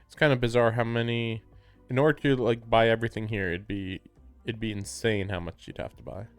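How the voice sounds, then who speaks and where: A man's voice: lowish voice, steady tone, a little fast. A man livestreaming a game with casual, amused commentary, rambling to himself as he goes. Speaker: live streamer. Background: game music.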